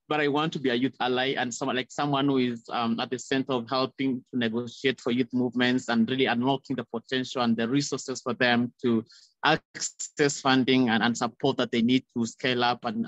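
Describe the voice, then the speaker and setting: A man's voice: medium pitch, steady tone, fairly quick, a bit bright. A young man giving earnest, impassioned remarks as a panel speaker on a video call, talking into a phone. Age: young adult. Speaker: youth activist, panel speaker. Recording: roomy, dull video-call audio.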